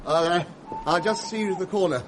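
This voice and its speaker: An actor playing a flustered, tipsy and pompous English gentleman telling his tale in a radio drama, with fussy, wordy delivery. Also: sits high, fairly quick, a little hiss or hum, natural voice, low-quality recording. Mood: obliging, flustered. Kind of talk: radio drama acting and narration.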